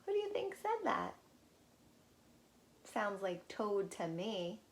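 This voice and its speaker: A woman reading a storybook aloud to young children, expressive and pausing to ask the listeners questions. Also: mid-range voice.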